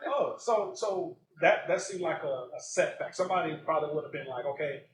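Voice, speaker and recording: A man's voice: fairly high pitch, expressive, a little fast, reverberant, rough recording. A man hosting a live podcast and interviewing a guest, often answering with "right.". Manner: interviewer, many "right" backchannels. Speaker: podcast host. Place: stage at a live event.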